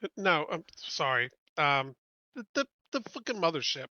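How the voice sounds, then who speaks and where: A man's voice: fairly high pitch, very animated, moderate pace, pushed and bright, no room sound, muffled recording. A man chatting casually as a tabletop game player, hesitant and searching for words.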